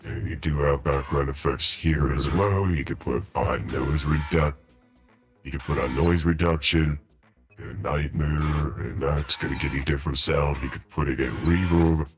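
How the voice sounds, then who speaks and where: A man demonstrating software through a voice changer set to a dark horror-game character. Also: a little slow, over music. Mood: demonstrating, casual. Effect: voice changer pitched down, with effects.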